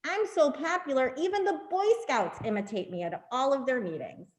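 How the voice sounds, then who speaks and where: Woman, high swooping voice, playful bragging, amateur comic script, echoey room. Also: sing-song, moderate pace. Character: boastful, popular holiday. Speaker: performer in a congregation's reader's theater.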